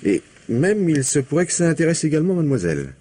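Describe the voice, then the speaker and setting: An actor playing a probing, courteous police commissioner in a French radio mystery drama. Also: male voice, fairly high pitch, sing-song, fast talker, warm tone. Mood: politely insistent.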